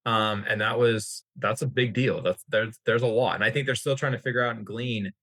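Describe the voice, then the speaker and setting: A man's voice: medium pitch, fairly even intonation, a little fast, fairly bright tone, no room sound. An American man speaking as an expert guest in an informal discussion, wordy and often rephrasing himself mid-sentence. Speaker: interview guest, healthcare industry executive.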